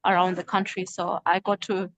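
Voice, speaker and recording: A woman's voice: on the low side, flat delivery, normal speed, no echo. A young woman from Zimbabwe, a medical student, answering interview questions with many hesitant fillers. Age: young adult.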